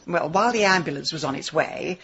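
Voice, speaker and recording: An actress playing a bossy, sharp-tongued amateur sleuth with a British accent and a dry, sardonic manner in a radio drama. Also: female voice, deep voice, expressive, brisk, breathy edge, muffled recording, full character voice. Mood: dry, indignant.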